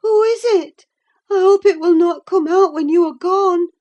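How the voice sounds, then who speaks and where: A woman reading an audiobook, voicing a delirious, frightened young woman in a high, breathless, anxious voice. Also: slow, dark tone, lo-fi sound. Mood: terrified. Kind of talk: audiobook character voice.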